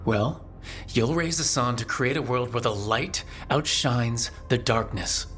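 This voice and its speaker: Man playing a steady, encouraging hero. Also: mid-range voice, measured pace, lightly acted. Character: steady, encouraging young male leader of heroes. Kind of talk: video game voice acting.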